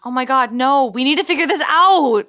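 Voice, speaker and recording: A woman's voice: high voice, expressive, moderate pace, bright, forceful tone. A woman playing in a tabletop role-playing podcast, chatting casually. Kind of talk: casual game chat.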